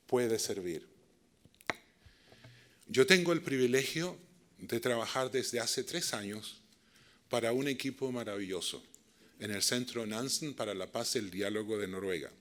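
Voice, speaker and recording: A middle-aged man with a Chilean accent speaks to a live audience in a master class. He talks in an anecdotal way and turns to his listeners with direct questions. His voice sits at a medium pitch. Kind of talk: storytelling lecture to a live audience.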